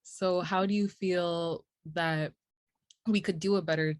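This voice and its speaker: A woman's voice: fairly low pitch, steady tone, measured pace, no room sound, studio quality. A young woman hosting an interview over a video call, asking questions in a chatty, casual way with many "like" fillers. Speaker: interviewer, host. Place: home room, indoors.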